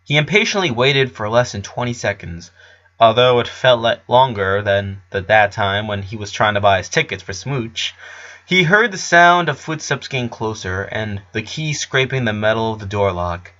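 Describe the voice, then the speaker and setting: A man's voice: fairly low pitch, lively intonation, moderate pace, pushed and bright, lo-fi sound. A man reading a story aloud as narrator, in an amateur storytelling style.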